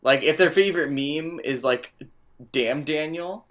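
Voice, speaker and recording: A man's voice: on the high side, a little slow, fairly bright tone, slight background noise. A young man co-hosting a podcast, cracking jokes, swearing casually and laughing often. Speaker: podcast co-host. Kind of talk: casual banter.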